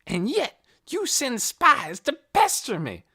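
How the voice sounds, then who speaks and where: A reader voicing a pompous indignant recluse. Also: male voice, fairly high pitch, huge pitch swings, a little slow, creaky, pushed and bright, pitched above the performer's own voice, heavily acted. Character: tall stooped indignant older man, pompous recluse. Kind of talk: audiobook character voice.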